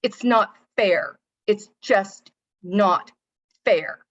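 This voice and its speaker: A woman's voice: mid-range voice, very slow, fairly bright tone, highs cut off. Woman playing a jealous, sarcastic fairytale stepsister. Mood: indignant, sulky. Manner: sarcastic, exaggerated, emphatic. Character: jealous, sarcastic stepsister of Cinderella, indignant and vain. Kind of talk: theatrical comic monologue.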